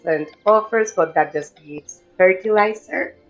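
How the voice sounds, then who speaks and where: A woman's voice: medium pitch, unhurried, muffled recording, faint music behind. Chatty woman streamer. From Mexico. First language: Spanish. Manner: chatty, greets viewers by name, reads game text aloud. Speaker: game streamer. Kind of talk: casual live-stream gameplay chat.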